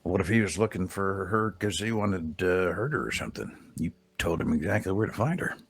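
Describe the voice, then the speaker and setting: A man with a deep, gravelly voice roleplays a frontier drifter, leaning on folksy cowboy phrasing and easy teasing. His rough, low tone carries over faint game music. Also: animated, brisk. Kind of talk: in-character western roleplay chat.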